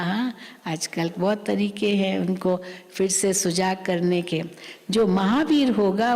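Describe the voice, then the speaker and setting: A woman spiritual teacher giving a sermon, explaining a scripture reading slowly and repeating her points. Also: medium pitch, a little mellow. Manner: slow, repetitive, explanatory. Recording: long hall reverb.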